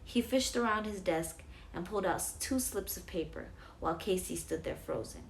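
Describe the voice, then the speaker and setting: A woman's voice: on the low side, animated, moderate pace, slight background noise, low-quality recording. A woman narrating a children's bedtime story as a storyteller.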